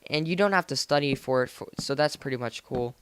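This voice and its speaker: A teenage American guy with a clean, smooth voice at an average male pitch. He talks casually over gameplay, wandering along informally with plenty of slang. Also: brisk, fairly bright tone. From United States. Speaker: gaming video host. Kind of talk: casual game commentary. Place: voice-over recorded indoors after gameplay.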